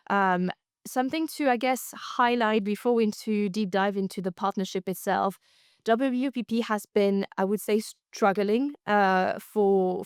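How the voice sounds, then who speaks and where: A woman's voice: medium pitch, clean tone, dry recording, clean studio sound. A woman co-hosting a podcast, discussing industry news conversationally and often rephrasing as she explains.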